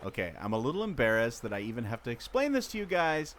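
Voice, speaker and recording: A man's voice: medium pitch, big pitch swings, quick pace, fairly bright tone. A man playing a resourceful, know-it-all former mariner who explains things emphatically in a radio adventure. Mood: exasperated. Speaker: podcast host acting in audio drama. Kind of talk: amateur radio adventure acting. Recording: noticeable ambient background noise.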